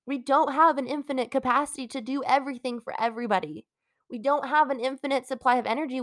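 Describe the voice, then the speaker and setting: Stuffed-up young woman chatting on her podcast, kinda high voice, dry close sound, rambly and encouraging. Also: fairly bright tone, no echo. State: congested from a cold. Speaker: podcast host. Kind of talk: casual solo podcast talk.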